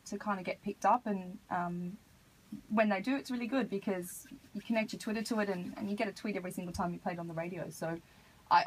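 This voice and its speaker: Husky Australian woman chatting casually. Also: medium pitch, poor audio. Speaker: interview guest, hip hop artist. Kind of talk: casual radio interview chat. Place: radio studio.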